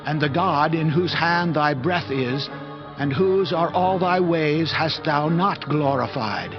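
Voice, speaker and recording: A man's voice: a little high, slow. Guy doing a stern solemn prophet scolding royalty, scripture drama, muffled sound with faint music. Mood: stern, accusing. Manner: solemn, declamatory. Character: grave male prophet rebuking a proud king. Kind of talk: dramatized King James Bible reading. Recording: dull lo-fi audio with music.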